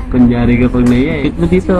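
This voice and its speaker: A man voicing a cheeky, excitable young suitor with a Filipino accent in a comic amateur dub, teasing and exclaiming. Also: mid-range voice, fairly quick, close to an everyday voice, poor audio. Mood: teasing, coaxing. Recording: music behind the voice.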